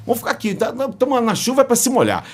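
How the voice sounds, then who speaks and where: Brazilian man telling animated stories. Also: extremely high pitch, racing, husky. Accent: Brazilian Portuguese. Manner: animated storyteller, frequent restarts, jokes. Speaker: sports commentator, podcast guest. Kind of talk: casual interview storytelling.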